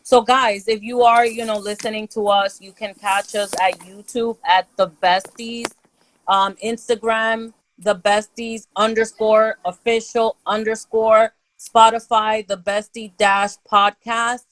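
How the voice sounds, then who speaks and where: A woman's voice: on the high side, level tone, unhurried, a bit bright, a little hiss or hum, poor audio. A woman co-hosting a podcast, talking in a laid-back, reassuring way with many fillers. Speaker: podcast co-host. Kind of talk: casual chat.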